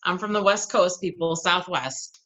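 A woman's voice: fairly low pitch, moderate pace, fairly bright tone. A woman from Southern California and Arizona, a kindergarten teacher, talking in a friendly, chatty way in a casual podcast interview and laughing easily.

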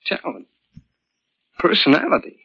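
A man's voice: on the high side, wildly swooping pitch, unhurried, gravelly, husky, dry recording, full character voice. A man playing a vigorous, good-natured brother in earnest, placating 1940s radio drama style. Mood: bewildered. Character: vigorous, good-natured, puzzled twin brother, talented musician. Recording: muffled, dry 1940s radio broadcast.

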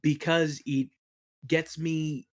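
A man's voice: a little high, flat delivery, very slow. A man speaking as an interview guest, explaining things in a casual, reflective way. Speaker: interview guest, game world builder.

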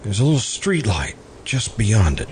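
A man performs a lovesick, brooding man in a radio play. His low voice moves widely in pitch as he pleads haltingly, over a clearly noisy recording. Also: sing-song. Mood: brooding, resigned. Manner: halting, pleading, repeats himself. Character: earnest, lovesick man, brooding and quietly obsessive. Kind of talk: old-time radio drama acting.

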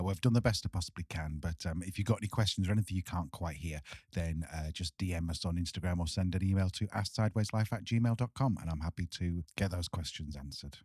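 A man's voice: deep voice, darkish tone, no echo, studio quality. A man hosting a podcast, chatting casually and joking at his own expense. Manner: chatty, self-deprecating, joking. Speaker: podcast host.

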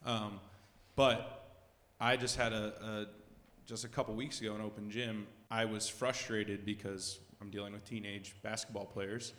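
A man with a fairly low voice tells a story from his own life in an earnest, reflective way. The sound is roomy, with the long reverb of a hall. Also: fairly bright tone. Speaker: basketball coach sharing from the group. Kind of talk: personal testimony.

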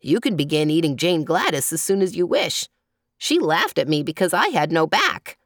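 A gloomy male clown from a children's storybook acted out by a woman. He sounds unimpressed and rather low. The sound is dry. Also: very animated, moderate pace, no room sound. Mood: relieved, spiteful. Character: gloomy, indifferent male picture-book clown.

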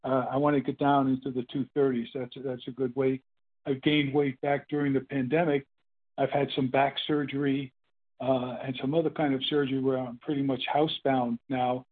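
An older man in his eighties sharing his story at a meeting, rambling and halting with many fillers and restarts. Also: medium pitch, little pitch movement. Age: 80s. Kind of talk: casual spoken testimony. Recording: phone-line call.

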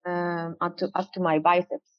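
A woman's voice: on the low side, little pitch movement, moderate pace, dry recording. Hesitant woman guest, dry lo-fi sound. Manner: hesitant, many restarts and fillers. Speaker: podcast guest. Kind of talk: casual interview chat. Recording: dry, dull lo-fi audio.

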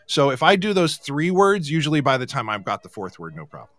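A man hosting a casual live comedy talk show, chatting and joking with animated emphasis. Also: fairly high pitch, quick pace, no room sound.

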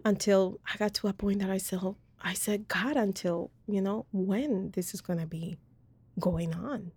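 A woman's voice: mid-range voice, a little slow, darkish tone, slightly rough, dry recording. A woman from Colombia, hosting a podcast and sharing her faith story in a rambling, earnest way with lots of fillers. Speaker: podcast host. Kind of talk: personal faith testimony in casual chat.

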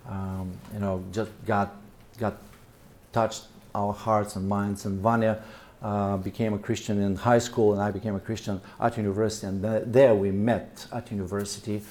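A middle-aged Bulgarian man with a Bulgarian accent presents a seminar to a room of listeners. His voice is mellow and dark. He talks haltingly, leaning on "uh" and "um" and restarting his words as he goes. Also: lowish voice, flat delivery. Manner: hesitant, many fillers and repeated words. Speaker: missionary and church planter speaking at a seminar.

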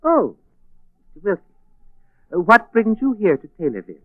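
An actor playing a guarded, suspicious schemer, stiffly formal, in a 1940s radio drama. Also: male voice, high-pitched, huge pitch swings, moderate pace, no echo, exaggerated voice. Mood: wary. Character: guarded, suspicious husband hiding a murderous scheme. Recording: muffled 1940s radio recording, phone-like band.

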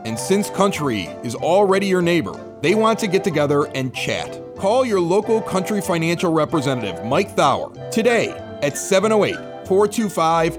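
Guy hosting a local podcast, radio announcer type, swoops up and down, music with it. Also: a little high. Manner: animated radio-announcer delivery. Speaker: podcast host. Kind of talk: scripted local news reads and casual bar chat. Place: at a bar.

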